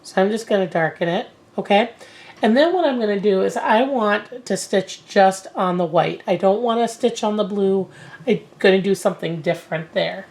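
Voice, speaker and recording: A woman's voice: medium pitch, normal speed, darkish tone. A woman in her forties, getting over a cold, explains a machine-embroidery quilting technique in a chatty, rambling way, filling gaps with ums and restarts, recorded on a camera mic in a cluttered home sewing room. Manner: chatty, many fillers and restarts. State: recovering from a cold. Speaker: sewing instructor. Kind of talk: casual how-to demonstration.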